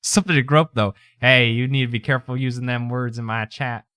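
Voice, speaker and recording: A man's voice: mid-range voice, fairly even intonation, a little fast, bright, forceful tone, clear, resonant tone, dry recording, clean studio sound. A man chatting casually on a livestream in a put-on accent, with a folksy, playful manner. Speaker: streamer, VTuber.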